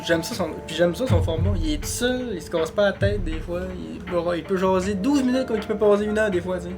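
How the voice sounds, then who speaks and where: High-voiced Québécois man chatting casually. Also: brisk, music in the background. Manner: rambling, laughs often, trails off quietly. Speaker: podcast co-host. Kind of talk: casual chat.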